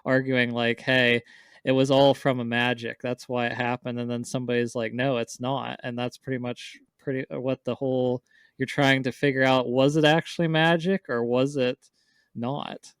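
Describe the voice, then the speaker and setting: A man with a clear, resonant voice talks casually as a podcast co-host. His pitch stays fairly flat as he rambles through informal explanations, and the sound is dry and close. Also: moderate pace, no room sound. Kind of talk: casual chat, discussing visual novels.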